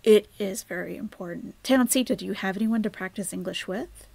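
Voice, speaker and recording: A woman's voice: mid-range voice, fairly quick, creaky, a little mellow, breathy edge, dry recording. A woman hosting a live stream, chatting casually and rambling as she answers viewer comments, often dropping to near-whispered asides.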